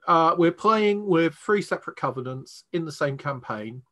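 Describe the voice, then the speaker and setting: A man's voice: fairly high pitch, animated, moderate pace, fairly bright tone. A man with an English accent, casually explaining a tabletop roleplaying game as its game master.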